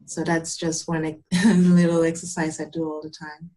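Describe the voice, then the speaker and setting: Woman from Cameroon, yoga teacher, calm, earnest, lowish voice, explains patiently. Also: moderate pace. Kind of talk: interview answers, conversational.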